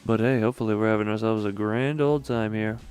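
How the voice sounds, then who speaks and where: A man's voice: on the low side, fairly even intonation, fast talker, a little mellow, clean tone. A man livestreaming a game, chatting casually and jokingly with his chat in a rambling way.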